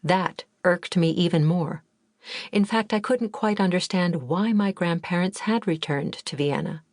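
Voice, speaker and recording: Female memoir narrator, lowish pitch, dry booth sound, calm reflective delivery. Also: no room sound. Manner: measured, reflective first-person reading. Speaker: audiobook narrator.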